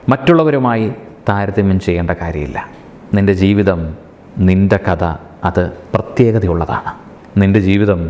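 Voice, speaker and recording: A man giving an earnest motivational commentary, speaking rhetorically with deliberate pauses. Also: low-pitched, a little mellow. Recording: long hall-like reverb on voice.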